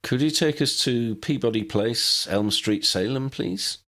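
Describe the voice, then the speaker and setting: A man's voice: mid-range voice, unhurried, sharp tone, pitched above the performer's own voice. A man voicing a polite young wizard who leads his team in a storybook tale.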